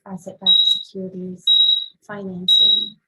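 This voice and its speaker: A young woman from Morocco speaks as a podcast guest, with a steady, fairly flat tone. She answers thoughtfully, pausing on ums as she works out what she means. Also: medium pitch, very noisy. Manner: thoughtful, measured, with frequent ums. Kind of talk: casual interview talk.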